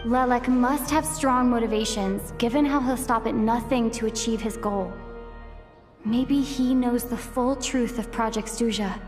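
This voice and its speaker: Actress as musing game character, female, highish voice, deliberate, heavy echoing reverb, clear music bed. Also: fairly even intonation, natural voice. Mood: pensive. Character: pensive character thinking to themself. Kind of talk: video game cutscene acting. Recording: long hall reverb effect.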